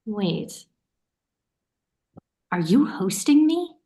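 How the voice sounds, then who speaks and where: A woman's voice: medium pitch, sing-song, drawn-out words, dark tone. A woman acting in a naturalistic play reading as a caring, teasing and watchful sister. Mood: teasing, casual. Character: caring, teasing, suspicious sister of a young man. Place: remote online staged reading.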